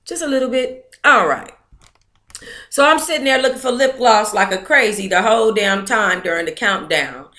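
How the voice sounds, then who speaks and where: A woman with a mid-pitched voice hosts a casual livestream from a room at home. She talks to her viewers in a playful, slangy way, keeping everything informal. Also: animated, normal speed, pushed and bright. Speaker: host of an online book club livestream.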